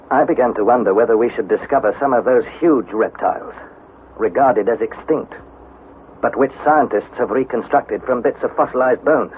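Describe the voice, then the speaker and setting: An actor playing an earnest, curious young nephew who tells of an expedition in a vintage radio drama, with a questioning, storytelling manner. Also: male voice, medium pitch, close to an everyday voice. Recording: tinny, band-limited vintage radio, faint noise.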